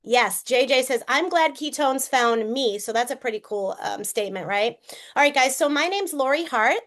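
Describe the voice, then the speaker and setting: A woman's voice: sits high, animated, normal speed, fairly bright tone. A woman in her fifties with a Boston accent, giving a chatty live-streamed sales presentation and often asking viewers to comment. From Boston area, USA. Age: 50s. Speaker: network marketing presenter.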